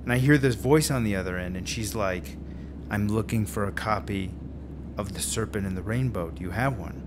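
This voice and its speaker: An actor playing a laid-back slacker screenwriter in telesales, rambling and slangy in a radio drama. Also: male voice, lowish voice, normal speed, noisy background, close to an everyday voice. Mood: animated storytelling. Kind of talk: naturalistic radio drama acting. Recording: music under the dialogue.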